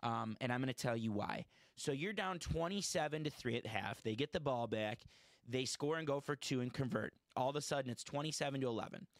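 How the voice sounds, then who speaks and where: A man's voice: medium pitch, a little fast, no echo. A man hosting a casual sports podcast, chatty and opinionated, often correcting himself mid-sentence. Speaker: podcast host.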